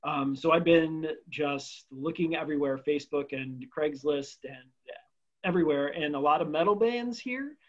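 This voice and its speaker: A man in a band, telling the story of how the group formed in a relaxed, upbeat way. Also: on the high side, moderate pace, lo-fi sound. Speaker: band member guest. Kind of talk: casual chat.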